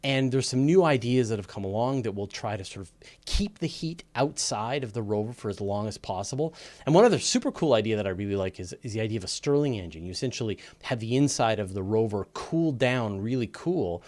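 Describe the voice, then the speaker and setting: A man's voice: lowish voice, lively intonation, brisk, a little mellow. A man hosting a live question-and-answer show, explaining things in an informal, conversational way. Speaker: host of a live space and astronomy Q&A show.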